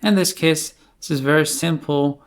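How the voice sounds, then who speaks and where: A man presenting an unscripted screencast coding tutorial in a hesitant, halting way, with pauses between phrases. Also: fairly high pitch, steady tone.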